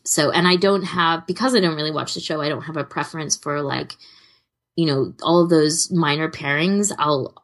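A woman's voice: low-pitched, moderate pace. A woman co-hosting a podcast in casual fan chat, rambling a little and correcting herself as she goes. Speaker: podcast co-host.

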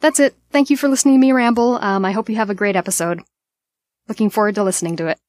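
A woman's voice: mid-range voice, fast talker, a little mellow, dry recording, clean studio sound. A woman leaving a conversational voice message for a podcast, sharing reflective personal commentary in an earnest way.

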